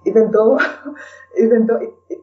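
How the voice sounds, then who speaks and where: A woman's voice: a little high, a little slow. A woman speaking as an interview guest in a chatty, conversational way, often adding "you know". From Kenya. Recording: dull, distant lo-fi microphone.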